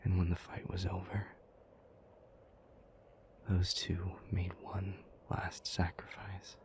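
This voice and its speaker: A man playing an ancient, somber, thoughtful demon in an intimate audio roleplay, telling old myths in a breathy, hushed, halting voice with long pauses. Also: deep voice, monotone. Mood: solemn storytelling. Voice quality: breathy, whispery.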